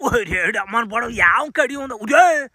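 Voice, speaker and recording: A brash young cartoon superhero, acted out by a man, with an extremely high, very sharp voice recorded dry and close. He talks in a crude, colloquial, exclamatory way, as in a homemade dub. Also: animated, moderate pace, no room sound, full character voice. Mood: baffled, irritated. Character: brash, foul-mouthed young superhero son, easily baffled.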